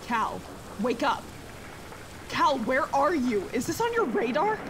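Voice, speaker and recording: A woman's voice: high-pitched, sing-song, slow pace, full character voice. A woman playing an exasperated, stubborn crew member in a science fiction audio drama. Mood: worried, irritated. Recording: loud rain and storm noise.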